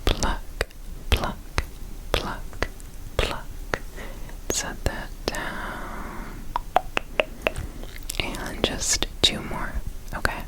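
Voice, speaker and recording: A woman whispering softly and soothingly in an ASMR roleplay as an examiner. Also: rough recording. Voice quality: soft unvoiced whisper. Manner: soothing, repeats trigger words slowly.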